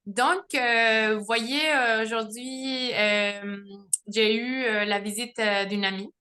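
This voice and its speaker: Bright-voiced young mother, Mexican-born. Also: a little high, steady tone, slow. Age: young adult. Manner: chatty, laughing, many fillers and restarts. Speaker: testimonial speaker. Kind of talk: casual spoken testimony. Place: home, with children nearby.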